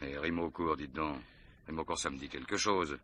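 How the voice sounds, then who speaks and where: An actor playing a probing, authoritative police commissioner in a French radio mystery, questioning briskly and correcting people. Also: male voice, rumbling low, fairly even intonation, bright, forceful tone, no echo, full character voice. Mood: probing, pensive. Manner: inquisitive, brisk, corrects others.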